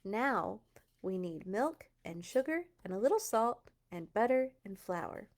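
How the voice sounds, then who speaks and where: A woman's voice: mid-range voice, sing-song, measured pace. Woman reading as a grumbling storybook farmer. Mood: busy, instructing. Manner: grumbling, muttering. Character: muttering, grumbling old-fashioned male farmer in a storybook.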